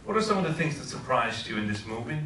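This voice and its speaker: A man's voice: medium pitch, sing-song, fast, recorded from a distance, background noise. A middle-aged man from Switzerland, a professor, giving an informal talk and asking the audience questions. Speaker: guest speaker. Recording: echoey room, voice heard from afar.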